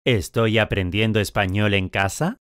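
A man speaking clearly articulated drill questions and statements in a language course. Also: lowish voice, fairly even intonation, moderate pace, no echo, studio quality.

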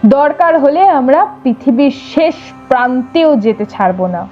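Fierce, high-voiced vengeful loyalist, acted by a woman. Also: lively intonation, a little slow, muffled recording, faint music behind. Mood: angry, defiant. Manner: defiant, impassioned declaiming. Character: fierce, vengeful loyal leader of royal attendants, male.

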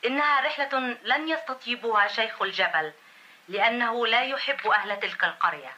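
A woman's voice: fairly high pitch, lively intonation, a little fast, pushed and bright, poor audio. A woman reading scripted storytelling narration for a children's cartoon.

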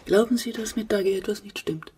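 An actress playing a genteel, brittle older widowed mother in a German radio play, with a husky voice. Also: medium pitch, fairly quick, dark tone, recorded from a distance. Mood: brittle, wistful.

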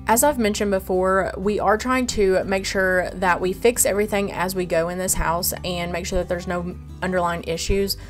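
A woman's voice: fairly low pitch, steady tone, moderate pace, few pauses, faint music behind. Plainspoken woman touring a renovation. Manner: plainspoken, explains plans step by step. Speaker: homesteading renovation vlogger. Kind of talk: casual walkthrough narration. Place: empty farmhouse room under renovation.

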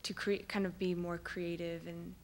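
A woman's voice: lowish voice, flat delivery, normal speed, a little mellow. Woman asking a rambling question. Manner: rambling, hedged with fillers. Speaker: audience member asking a question. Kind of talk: casual question from the floor.

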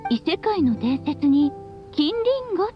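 A doting anime-game witch mother with a high voice. She tells stories tenderly and slips in playful teasing. The sound is slightly muffled, with music underneath. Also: expressive, normal speed. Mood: tender, nostalgic. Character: playful, loving, long-lived witch mother addressing her child. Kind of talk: Japanese anime game voice acting. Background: background music.

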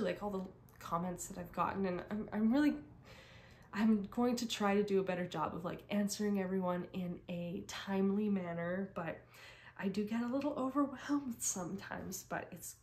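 A young woman from Canada, recovering from a cold and losing her voice, chatting casually about crafts, rambling with frequent laughs and restarts. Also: mid-range voice. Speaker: crafting vlogger. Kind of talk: casual chat to camera.

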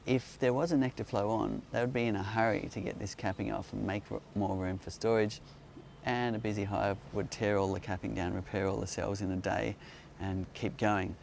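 A man with a low, gravelly voice answers questions and explains with enthusiasm as he demonstrates in an outdoor garden. There is faint outdoor noise in the background, and the recording sounds like a phone camera held nearby. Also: flat delivery, moderate pace, a little mellow. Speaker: beekeeping company host.

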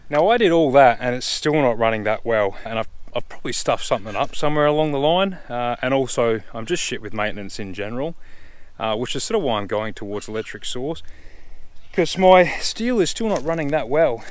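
A man's voice: mid-range voice, animated, a little fast. Chatty Australian man, blunt and casual. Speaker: camping vlogger. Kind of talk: casual outdoor gear talk. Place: outdoors in open bushland, and in the back of a truck canopy. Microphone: camera held at arm's length.

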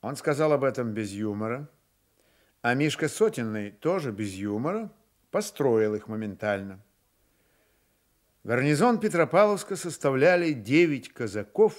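An actor narrating a dramatised historical novel for radio, measured and wry, with deadpan irony. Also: male voice, medium pitch, natural voice.

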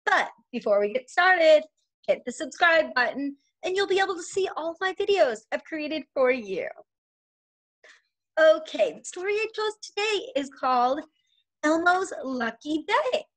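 A woman in her forties, a preschool teacher, reading a picture book aloud to young children in an expressive, sing-song manner. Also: sits high, unhurried. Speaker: preschool teacher and story time host. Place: small indoor room at home.

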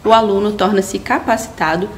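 A woman giving a steady, formal explanation. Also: medium pitch, normal speed, slight background noise. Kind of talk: explanatory statement.

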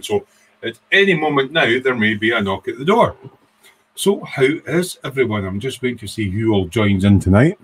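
A man's voice: medium pitch, fairly bright tone, low-quality recording. A man in his sixties with a Scottish accent, hosting a casual, rambling livestream chat in an informal way. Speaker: livestream host, hobbyist. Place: small home workshop room.

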